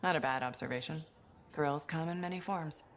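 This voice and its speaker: A woman's voice: sits low, fairly quick, a little hiss or hum, lightly acted. An actress playing a dry, self-assured female mercenary hero in a video game, speaking in a wry, understated way. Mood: dry, reflective.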